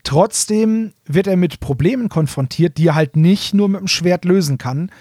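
A man's voice: sits high, moderate pace, warm tone, no room sound, clean studio sound. A man, a podcast co-host, explaining fantasy lore in casual chat.

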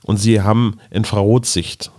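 Low-voiced man explaining casually, dry sound. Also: steady tone, normal speed, darkish tone, no echo. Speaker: podcast co-host.

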